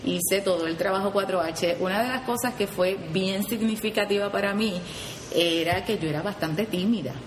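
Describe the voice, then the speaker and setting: A woman's voice: mid-range voice, moderate pace, reverberant, slight background noise. A Puerto Rican woman who leads a youth program, explaining it earnestly in an interview and often adding "¿verdad?" as she goes. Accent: Puerto Rican Spanish. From Puerto Rico. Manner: earnest, frequent "¿verdad?" tags and restarts. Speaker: 4-H program leader, guest.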